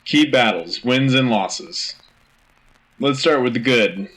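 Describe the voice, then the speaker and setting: A man's voice: mid-range voice, expressive, slow pace, fairly bright tone, low-quality recording. A man playing an earnest, rousing war news anchor on a sci-fi radio show, with dramatic, punchy delivery. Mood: grave, resolute.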